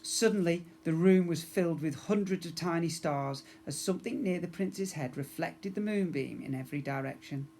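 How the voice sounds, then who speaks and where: A man narrates a children's storybook. His delivery is expressive and clearly aimed at young listeners, like a teacher reading to a class. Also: on the high side, normal speed, few pauses, slight background noise.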